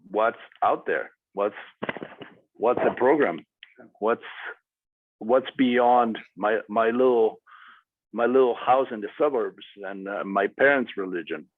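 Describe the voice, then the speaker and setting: A man speaking as a guest to a class and answering student questions, with many pauses and fillers. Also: medium pitch, no room sound. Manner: hesitant, many fillers and restarts. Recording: dry tinny phone-like audio.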